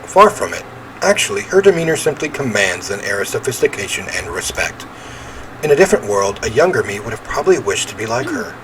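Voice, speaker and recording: A man reading visual novel narration aloud in an expressive storytelling way, with casual commentary. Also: on the low side, slight background noise, low-quality recording. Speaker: video game let's player.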